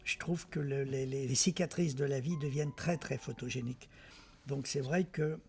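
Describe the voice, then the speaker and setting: A man's voice: medium pitch, normal speed, gravelly, a little mellow, slight background noise. A man, a film director in a casual interview, talking reflectively and hesitantly, repeating words as he searches for them.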